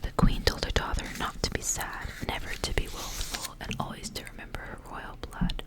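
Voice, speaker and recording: A woman reading a story aloud in an ASMR style, whispering softly and without hurry. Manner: whispering, soft and unhurried storytelling. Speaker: ASMR reader.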